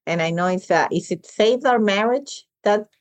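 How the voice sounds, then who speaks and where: A woman podcast host from Venezuela, speaking thoughtfully and haltingly as she works through her ideas. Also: mid-range voice. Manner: thoughtful, halting, repeats words as she thinks. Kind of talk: podcast interview conversation.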